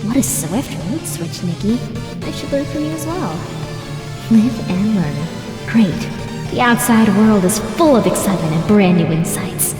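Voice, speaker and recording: A woman's voice: mid-range voice, expressive, measured pace, close to an everyday voice. Woman playing an earnest, polite young idol newcomer. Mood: earnest, admiring. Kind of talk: anime fandub acting. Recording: echoey reverb, music behind.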